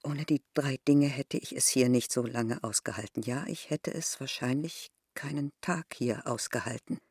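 A middle-aged innkeeper from a village, a proud and wistful woman. She speaks with grave, deliberate insistence in the manner of a literary radio drama, devoted to a love long past. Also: rumbling low, a little mellow, slightly rough.

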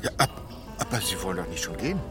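An actor playing a coaxing, reassuring couples therapist in an audio drama, with a persuasive, soothing way of talking. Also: male voice, deep voice, big pitch swings, fast, music in the background. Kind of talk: scripted audio drama dialogue.

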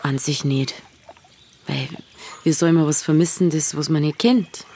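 Earthy young Bavarian woman, low pitch, dry, plain-spoken, radio play, faint background noise. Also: steady tone, unhurried, warm tone. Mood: reflective, blunt. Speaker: lead actor and narrator. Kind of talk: radio drama narration and dialogue.

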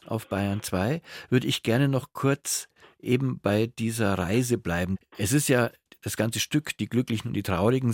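A man hosting a cultural radio interview, phrasing his questions carefully and deliberately. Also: mid-range voice, vocal fry, no room sound, studio quality. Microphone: close, dry studio microphone.

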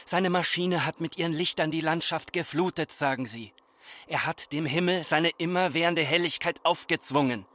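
Tense, embittered farmer's son. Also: male voice, fairly high pitch, bright, forceful tone. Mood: reproachful, foreboding. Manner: incantatory, reproachful, deliberate repetition. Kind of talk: literary radio drama acting.

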